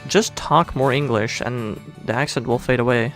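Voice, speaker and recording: A man's voice: mid-range voice, moderate pace, fairly bright tone, soft background music. A man from the Netherlands with a Dutch accent, chatting casually on a live stream and rambling in a self-deprecating way. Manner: rambling, self-deprecating, thinks aloud with restarts.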